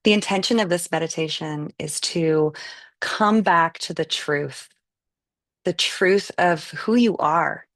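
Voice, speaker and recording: A woman with a lowish voice and plenty of vocal fry talks a group through a meditation. Her instructions come slow and gentle, and the sound is dry and close, with no room around it. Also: no room sound. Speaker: meditation guide. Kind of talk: live guided meditation.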